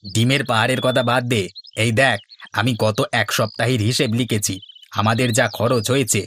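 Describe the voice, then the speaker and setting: A man's voice: medium pitch, fairly even intonation, moderate pace, fairly bright tone, slight background noise, lightly acted. A man playing an earnest village chicken farmer in scripted cartoon dialogue.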